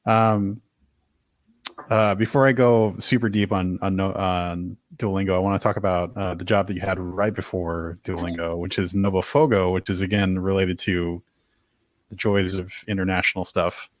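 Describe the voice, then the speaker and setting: A man from the United States hosting a podcast, asking short questions in a relaxed, joking way. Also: low-pitched, normal speed, dry recording. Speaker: podcast host. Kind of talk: casual podcast interview.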